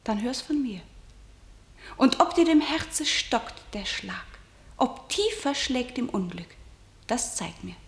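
An actress playing a defiant young princess fiercely loyal to her dead brother, speaking classical verse intensely and dropping into hushed whispers. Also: fairly high pitch, sing-song, slow, exaggerated voice. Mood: grave. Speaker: lead actor in a radio play. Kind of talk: classical verse radio drama. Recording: roomy studio echo.

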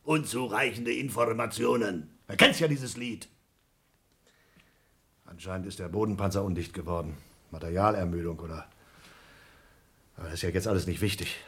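An actor playing a calm, resigned astronaut who talks in a dry, matter-of-fact way. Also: male voice, low-pitched, normal speed, pauses often, breathy edge, exaggerated voice. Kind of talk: 1970s radio play acting.

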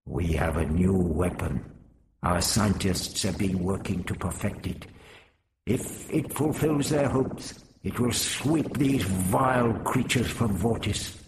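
Man doing a grave, slow-spoken alien leader. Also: low voice, slight background noise, voice pushed down. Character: guarded male insect-winged alien leader.

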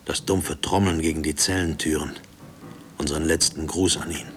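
An actor playing a haunted middle-aged former prisoner, speaking a hushed, deliberate, brooding radio play monologue. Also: male voice, extremely low pitch, steady tone. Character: haunted male former prison inmate, brooding family man. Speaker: narrator and lead character of a radio play. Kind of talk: brooding first-person radio drama monologue.

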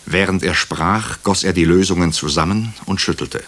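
A doctor recounting a crime story for radio drama. His voice is extremely deep. He tells it soberly, in measured, literary sentences. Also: a bit bright. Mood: sober, observant. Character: male doctor narrating a crime story in first person, sober.

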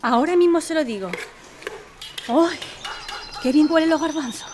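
Una mujer con acento andaluz interpretando a una vecina pícara y descarada en una ficción sonora. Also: female voice, sits high, moderate pace, natural voice. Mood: sly, wheedling. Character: sly, nosy neighbourhood woman, wheedling and bold. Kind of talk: audio drama acting. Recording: noisy ambience behind.

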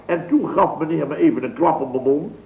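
A pompous smug contractor boss. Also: male voice, fairly high pitch, fairly quick, vocal fry, a crowd in the background. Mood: boastful. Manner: pompous, sarcastic, overly polite. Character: pompous middle-aged male contractor boss, smug hunter. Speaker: construction firm boss. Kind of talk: 1960s radio comedy acting. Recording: echoey, tinny old radio broadcast.

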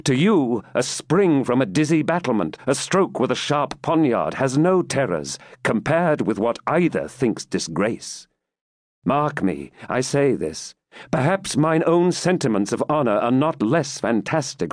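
A man's voice: mid-range voice, very animated, unhurried, no echo, clean studio sound, pitched above the performer's own voice. A man voicing a proud, brooding medieval knight in an audiobook, with grave, formal, archaic declamation. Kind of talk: dramatised audiobook reading.

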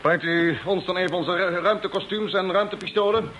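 A man's voice: sits high, fairly quick, dull audio, over music, lightly acted. A man acting a commanding, questioning spaceship captain in an old radio play, with an authoritative manner. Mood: brisk, concerned. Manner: authoritative, probing. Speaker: radio drama actor. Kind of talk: mid-century Dutch radio play acting. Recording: old radio recording, faint hiss.

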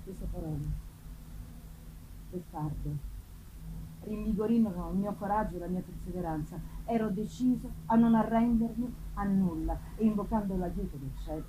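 A woman's voice: mid-range voice, normal speed, natural voice. A woman reading a gothic novel theatrically as an anguished man bent on revenge, in a solemn manner, on a noisy live recording. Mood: grim, determined. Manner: solemn, theatrical, swinging from hushed to declamatory. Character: anguished male avenger narrating his tragic hunt.

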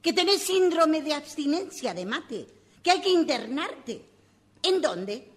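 A woman's voice: sits high, sing-song, takes it slowly, heavily acted. A woman with an Argentine accent playing a long-suffering, exasperated wife in a comic radio drama. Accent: Argentine Spanish.

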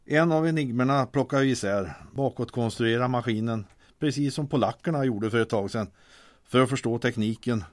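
A man's voice: medium pitch, no room sound, natural voice. An actor playing a glum, worried technical expert in a comic radio drama, hesitant and defeatist as he speaks. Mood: hesitant, explaining.

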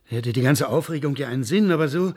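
An actor in a comic radio play playing a staid, worried petty official with an earnest, pedantic manner. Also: male voice, mid-range voice, animated, fast, darkish tone, slightly rough. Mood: worried, resigned.

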